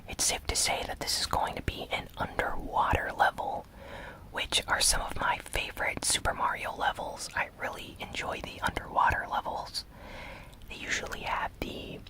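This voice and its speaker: Whispering ASMR gaming news host. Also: poor audio. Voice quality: breathy unvoiced whisper.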